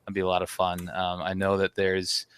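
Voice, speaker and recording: A man's voice: sits low, completely flat delivery, moderate pace, fairly bright tone, clear, resonant tone. A man in his thirties co-hosting a podcast, chatting casually and leading the talk with questions. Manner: leads the talk, asks questions. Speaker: podcast co-host. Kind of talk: casual chat.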